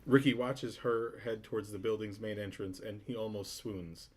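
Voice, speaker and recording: A man's voice: fairly low pitch, fairly even intonation, moderate pace, a little mellow. A man reading out stage directions as scripted narration at a table read.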